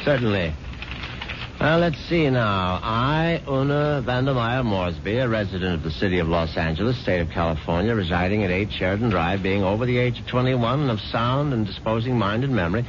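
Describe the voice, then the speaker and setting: A man's voice: fairly low pitch, brisk, noisy background, natural voice. An actor playing a skeptical, easily exasperated husband of a rich woman in a 1970s radio drama, with a sardonic, sputtering delivery. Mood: wry, teasing. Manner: sardonic, sputtering when incredulous. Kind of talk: 1970s radio drama acting, partly first-person narration. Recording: dull 1970s radio recording, music behind.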